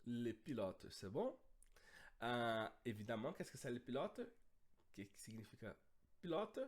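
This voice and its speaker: Hoarse, animated man teaching a lesson. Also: mid-range voice, unhurried. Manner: lively, animated, checks in with students often. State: hoarse from a cold. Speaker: French teacher. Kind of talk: online language lesson.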